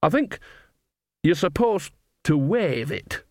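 A man's voice: a little high, sing-song, slow, a little mellow, no echo, studio quality, pitched above the performer's own voice. A man voicing an earthy old woman, a practical, wry witch who talks bluntly and colloquially in an audiobook. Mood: reasoning. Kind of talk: audiobook character voice.

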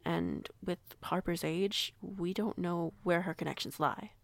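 A woman playing a protective female werewolf pack alpha in an audio roleplay, speaking in a measured, polite, reassuring way. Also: fairly low pitch, gravelly, no room sound. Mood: cautious, measured. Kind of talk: audio roleplay acting, conversational.

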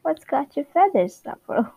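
A woman's voice: high voice, big pitch swings, a little slow, gravelly, warm tone, pitched above the performer's own voice, exaggerated voice. A woman playing a good-natured, folksy male co-worker in a sitcom office. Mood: concerned.

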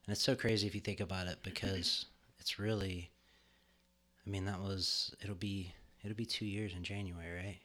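A man's voice: low-pitched, fairly even intonation, moderate pace, bright, forceful tone. A middle-aged man co-hosting a podcast in casual chat, joking and rambling with fillers, recorded in a home studio. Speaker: podcast co-host.

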